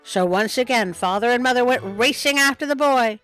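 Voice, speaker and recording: A woman reading a children's story aloud in a playful, expressive way, with chatty asides. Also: fairly high pitch, normal speed, faint music behind. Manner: playful, expressive, self-interrupting. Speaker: storytime host and reader.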